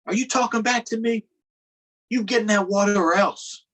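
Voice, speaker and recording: A man's voice: sits high, moderate pace, fairly bright tone, pitched above the performer's own voice. A man reading aloud, voicing a harsh farm boss who threatens a child and barks orders at her.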